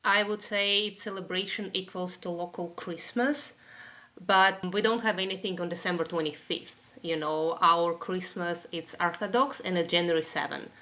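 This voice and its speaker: A woman's voice: on the low side, fairly even intonation, normal speed, sharp tone. A woman from Russia with a Russian accent, chatting as a podcast guest in non-native English phrasing. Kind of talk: casual conversational interview.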